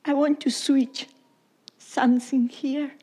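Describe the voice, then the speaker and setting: A woman pastor from Argentina with an Argentine accent, whose first language is Italian, preaching a sermon in halting, repetitive English that sometimes drops to a whisper. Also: sits high, little pitch movement, mellow. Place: church service.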